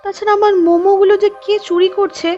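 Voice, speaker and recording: A woman's voice: very high-pitched, flat delivery, fast, mellow. A woman voicing an earnest young village woman who runs a food stall. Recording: dull lo-fi with music bed.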